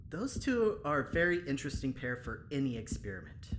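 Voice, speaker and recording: An actor playing a talkative, well-meaning prison guard in an audio drama, chatty and coaxing in manner. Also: male voice, medium pitch, big pitch swings, brisk, a bit bright. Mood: musing. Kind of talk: audio drama acting, spoken log. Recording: clearly noisy, ambient background.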